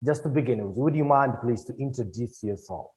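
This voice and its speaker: A man's voice: medium pitch, fairly quick, a little mellow, low-quality recording. A man hosting a broadcast interview in a formal, courteous way. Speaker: program host and producer.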